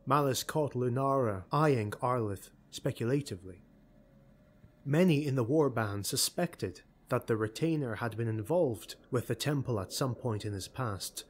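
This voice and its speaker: A man reading a dark fantasy audiobook in a measured, dramatic way. His voice is husky and sits at an everyday male pitch. He keeps a steady, deliberate delivery through the descriptive passages. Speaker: audiobook narrator. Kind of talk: audiobook narration.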